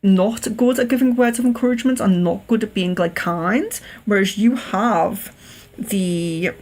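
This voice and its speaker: A woman's voice: medium pitch, a little slow, darkish tone. English woman with a faint Liverpool lilt. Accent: Liverpool, slight. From England. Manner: careful clear telephone voice, many ums and restarts. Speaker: psychic livestream host. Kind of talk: livestream palm reading.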